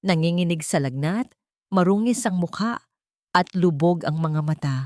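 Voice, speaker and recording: Lowish-voiced woman narrating a dramatic story. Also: normal speed, a little mellow, dry recording, clean studio sound.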